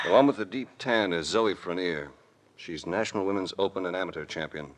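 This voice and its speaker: An actor playing a composed, dryly witty adventurer-detective, narrating and talking in 1940s radio drama style. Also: male voice, deep voice, brisk, close to an everyday voice. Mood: matter-of-fact. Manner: dry, composed, articulate. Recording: vintage radio recording with faint noise.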